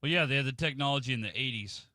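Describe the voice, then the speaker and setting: A man's voice: mid-range voice, very fast, bright, forceful tone, dry recording. A man co-hosting a radio show, agreeing with the host and adding dry, wisecracking asides. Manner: dry, agreeable sidekick quips. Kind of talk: casual radio banter. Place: radio studio.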